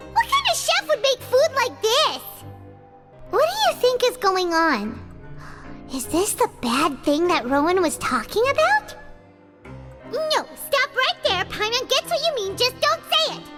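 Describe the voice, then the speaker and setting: Tiny fairy sidekick, anime game: piping child voice, animated swoops, whiny and exclamatory. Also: female voice, very high-pitched, exaggerated voice. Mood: shocked disgust. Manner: whiny, exclaiming, speaks of herself in third person. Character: tiny excitable floating fairy-like girl companion, always hungry. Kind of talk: anime-style video game voice acting.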